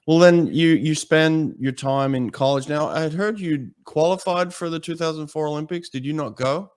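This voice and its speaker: A man from Australia, hosting an interview and asking relaxed, curious questions. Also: fairly high pitch, moderate pace. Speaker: podcast host. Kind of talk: interview conversation.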